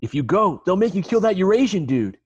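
Casting: dim, earnest, excitable male model played by a man, high-pitched with animated swings, amateur table read on a lo-fi call. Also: brisk, slight background noise. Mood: earnest, resigned. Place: home, remote video call. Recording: dull, lo-fi video call audio.

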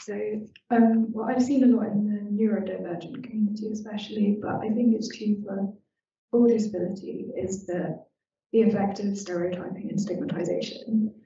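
A woman's voice: medium pitch, fairly even intonation, moderate pace, gravelly, mellow. A young adult woman on a panel, speaking candidly and personally. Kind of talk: panel discussion. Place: university seminar room. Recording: echoey room from a distance, dull.

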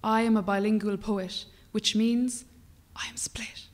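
A young Irish woman poet reading her work aloud in a measured, rhythmic way at a lectern microphone, at times dropping to a whisper. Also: mid-range voice, level tone, a little mellow, long reverb tail. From Ireland. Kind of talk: poetry reading. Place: small brick-walled performance venue, indoors. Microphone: stand mic at a lectern.

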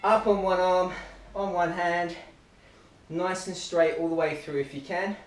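Man coaching a workout, high voice. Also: fairly even intonation, a little slow. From Australia. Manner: upbeat, encouraging countdowns and cues. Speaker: running club fitness coach. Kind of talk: workout instruction while exercising. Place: living room at home, indoors. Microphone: camera across the room. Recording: roomy, distant camera audio.

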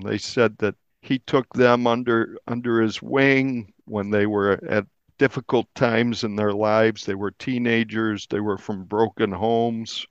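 A man's voice: lowish voice, smooth, dry recording, highs cut off. A male journalist explaining his reporting in a podcast interview, earnest and repeating words for emphasis. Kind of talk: conversational true crime podcast interview.

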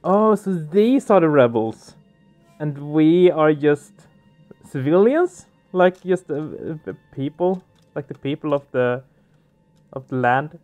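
Guy streaming a game, soft mellow higher voice, thinking out loud, music underneath. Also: expressive, slow. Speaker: let's play streamer. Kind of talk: casual game commentary and reading aloud.

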